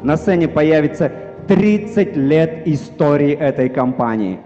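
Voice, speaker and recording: Brief: fairly high-voiced male emcee, rousing intro, hall echo. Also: warm tone, lo-fi sound. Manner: rousing, builds up the next speaker. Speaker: event emcee. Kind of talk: stage introduction.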